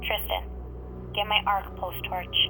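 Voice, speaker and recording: A woman playing a brisk, commanding warrior investigator in a science fiction audio drama, speaking tersely. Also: medium pitch, very animated, music in the background, low-quality recording.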